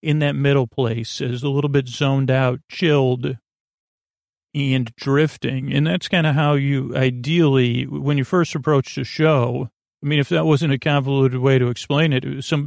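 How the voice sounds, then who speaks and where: A man with a creaky voice hosting a podcast, rambling in a halting, gently goofy bedtime monologue meant to help people drift off to sleep. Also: medium pitch, steady tone, no echo, studio quality. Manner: meandering, halting, often trailing off and repeating words. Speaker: podcast host.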